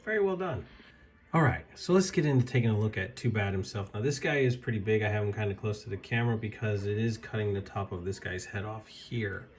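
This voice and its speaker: A man casually reviewing an action figure, his voice a bit raspy as he recovers from being ill, talking in a halting way with fillers and admiring asides. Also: on the low side. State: slightly raspy from recovering illness. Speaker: toy reviewer.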